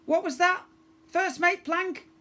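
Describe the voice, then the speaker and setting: Scratchy, very high-pitched goblin first mate. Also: male voice, fairly even intonation, slow, sharp tone, exaggerated voice. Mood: confused. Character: dim goblin first mate, squeaky and slow to understand.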